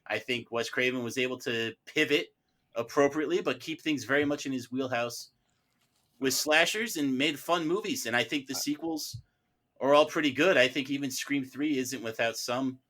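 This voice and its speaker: Bright, forceful man debating films. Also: mid-range voice, normal speed. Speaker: panelist on a mock-trial film podcast. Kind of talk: casual film debate.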